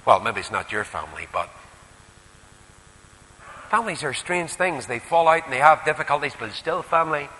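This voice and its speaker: A man with a Northern Irish accent preaching a sermon, putting rhetorical questions and moving between emphatic rises and quieter asides. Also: fairly high pitch, expressive, normal speed, long pauses, slight background noise. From Northern Ireland. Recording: echoey hall with long reverb.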